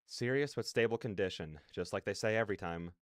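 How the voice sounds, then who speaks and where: A man's voice: fairly low pitch, fairly quick, smooth, no room sound, studio quality, natural voice. Man reading as wry first-person game narrator. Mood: wry, intent. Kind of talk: scripted narration read aloud.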